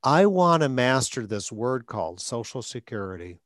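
A man's voice: mid-range voice, sing-song, normal speed, dry recording, clean studio sound. A man hosting a podcast as a stuttering coach, talking in short phrases with an occasional stutter block. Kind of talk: conversational coaching talk.

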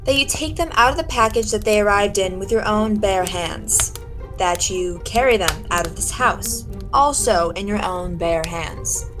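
A sharp, confident lady detective acted by a woman in a 1940s-style radio whodunit, low for a woman's voice or near the middle. She presses with pointed, theatrical questions while music plays clearly behind her. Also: lively intonation, a little slow, natural voice. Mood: confident, pressing. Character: sharp, confident female detective interrogating suspects. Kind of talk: old-time 1940s radio detective drama acting.

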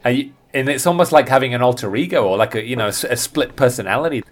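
A man's voice: medium pitch, racing. A man chatting casually as a birding guide on a podcast, thinking aloud and often rephrasing himself as he goes.

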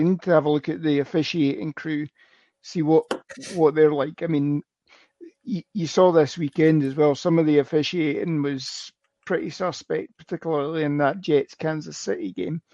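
A man's voice: fairly high pitch, fairly even intonation, moderate pace, warm tone, no room sound, highs cut off. A man co-hosting a fan podcast, talking football in a rambling, casual way with many fillers. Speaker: podcast co-host. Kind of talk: casual sports chat.

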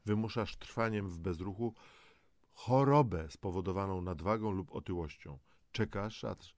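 Creaky low-voiced man, exhorting. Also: dry recording. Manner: exhorting, rhetorical questions, emphatic. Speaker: presenter of an audio health programme. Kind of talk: scripted motivational health talk addressed directly to the listener.

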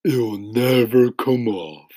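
A man voicing a gloomy, complaining earthworm with a drawn-out delivery in a storybook reading. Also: medium pitch, warm tone, no echo, clean studio sound. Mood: smug, bright. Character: gloomy male earthworm musing on odd schemes.

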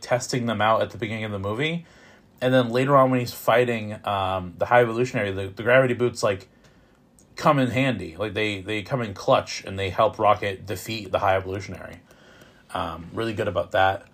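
A man reviewing films in casual, unscripted talk, opinionated and informal, with a halting delivery that repeats words as he goes. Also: mid-range voice, fairly bright tone. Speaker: film reviewer.

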